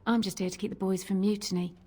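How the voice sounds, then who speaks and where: An actress playing a jaded, wry tavern barmaid in a fantasy video game. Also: female voice, medium pitch, steady tone, fast, mellow, lightly acted.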